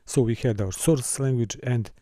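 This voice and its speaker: A man from Serbia, teaching a course and walking through a software console, with careful, halting phrasing. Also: mid-range voice, no room sound, clean studio sound. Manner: careful, halting delivery with small restarts and non-native phrasing. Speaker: course instructor. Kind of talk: screen-recorded software tutorial.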